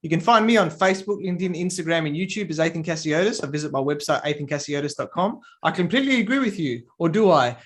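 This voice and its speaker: A man hosts a business interview show with an upbeat, enthusiastic delivery. His voice sits a little high for a man, and he heaps praise on his guest. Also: lively intonation, fast talker, hardly any pauses. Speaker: podcast host. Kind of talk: interview hosting, show outro.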